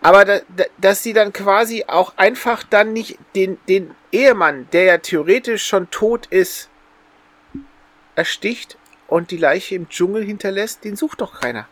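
A man's voice: high voice, a little slow, fairly bright tone, dry recording. A man co-hosting a podcast, casually picking a story apart in a questioning, argumentative way. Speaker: podcast co-host. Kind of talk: casual discussion of an audio drama's plot.

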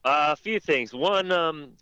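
A man chatting casually about video games as a podcast panelist, telling his stories with animated asides. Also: a little high, a little slow, clean tone, dry recording, lo-fi sound.

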